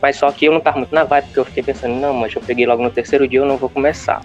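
A man's voice: medium pitch, fairly even intonation, very fast, soft background music. Caller: man, Fortaleza accent, rambling, muffled lo-fi line. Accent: Fortaleza Brazilian Portuguese. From Fortaleza, Brazil. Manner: chatty, rambling with restarts. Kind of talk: casual chat.

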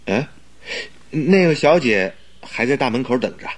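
An actor playing an excitable male doctor and detective's sidekick in a Chinese radio drama, grumbling and whining. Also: medium pitch, normal speed, husky. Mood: grumpy. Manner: whiny, grumbling.

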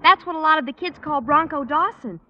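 Woman as a very high, perky teenage girl. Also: brisk, lightly acted. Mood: curious. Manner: girlish, perky. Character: perky, girlish teenage daughter, sweet and excitable. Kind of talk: 1940s-50s radio comedy acting. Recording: muffled old radio recording, faint noise.

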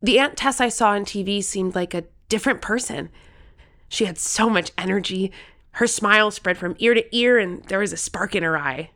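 An earnest thirteen-year-old played by a woman in an audio drama. The voice sits at a middle pitch, youthful and eager, telling things in a heartfelt way. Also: normal speed, fairly bright tone, close to an everyday voice. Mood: wistful, earnest. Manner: earnest, wistful storytelling.

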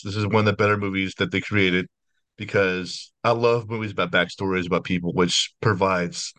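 A man with a lowish voice hosts a horror interview over a video call, talking in a chatty, rambling way with frequent restarts. His sound is dry and close, with no room behind it. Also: fairly bright tone, no echo. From United States. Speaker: podcast host.

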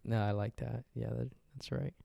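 A man's voice: mid-range voice, fairly even intonation, moderate pace, creaky, mellow, no echo, clean studio sound. A young man hosting a casual podcast, joking and teasing his guest. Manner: joking, teasing, laughs often. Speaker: podcast host.

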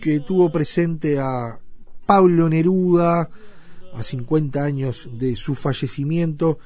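A man hosting a radio show, speaking casually and a bit haltingly. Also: fairly high pitch, warm tone. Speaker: radio host.

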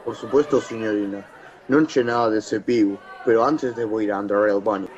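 A man's voice: on the low side, brisk, darkish tone, some chatter behind, lightly acted. Man acting coarse Italian bar flirt, muffled recording. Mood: flirtatious. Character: coarse foreign male bar patron, flirtatious suitor. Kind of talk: amateur radio play acting.

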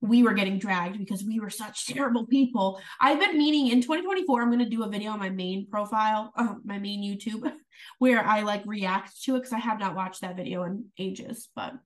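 A woman's voice: medium pitch, fairly quick, recorded from a distance. A young adult woman co-hosting a podcast in casual chat, giggly and full of "like"s. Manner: giggly, filler-heavy, with many "like"s. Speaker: podcast co-host.

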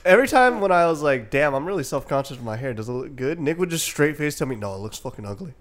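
A man's voice: a little high, big pitch swings, fast talker. A young man co-hosting a podcast, teasing his friends, swearing freely and laughing often. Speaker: podcast co-host. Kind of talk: casual banter among friends.

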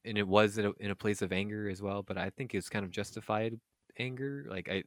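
Low-voiced man, halting and earnest podcast host. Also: steady tone, dry recording, clean studio sound. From United States. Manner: earnest, halting, repeats words. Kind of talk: casual conversational discussion.

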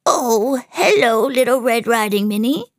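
A woman voicing a storybook villain who fakes a sweet old grandmother in a squeaky, funny high voice. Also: lively intonation, measured pace, no room sound, studio quality. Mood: falsely sweet.

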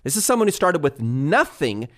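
A middle-aged man co-hosting a casual motivational talk, emphatic and animated as he tells stories. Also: a little high, normal speed. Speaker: podcast co-host, business owner.

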